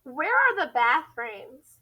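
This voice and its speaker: A woman's voice: sits high, very animated, takes it slowly, dull audio, full character voice. A woman voicing an anxious little schoolgirl from a children's storybook, earnest and plaintive. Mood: anxious, questioning.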